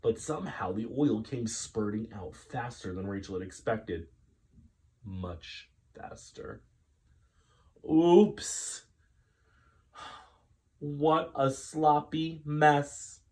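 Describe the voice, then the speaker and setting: Slow, very expressive man reading a children's story. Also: on the low side. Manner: dramatic, drawn-out delivery with pauses.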